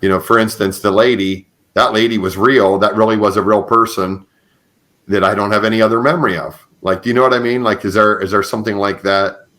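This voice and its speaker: A man hosting a podcast, interviewing in a conversational, halting way and rephrasing as he goes. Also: lowish voice, steady tone, a bit bright. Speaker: podcast host.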